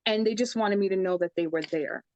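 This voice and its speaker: A woman's voice: mid-range voice, brisk, darkish tone, muffled recording. A young woman from the Bronx with a New York accent, a psychic medium talking earnestly about her life in a casual interview. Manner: earnest, storytelling, says "I says" for past talk.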